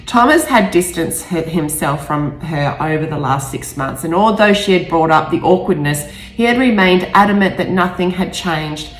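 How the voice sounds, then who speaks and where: A woman's voice: on the low side, lively intonation, normal speed, hardly any pauses. A woman author narrating her own book, stumbling over and rereading the odd phrase. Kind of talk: audiobook-style narration with brief personal asides. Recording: roomy echo, faint music behind.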